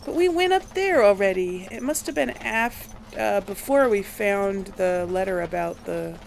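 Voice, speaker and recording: A woman's voice: mid-range voice, animated, normal speed, creaky, slight background noise. A woman doing casual video game commentary, musing aloud and questioning herself as she plays. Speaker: let's play host.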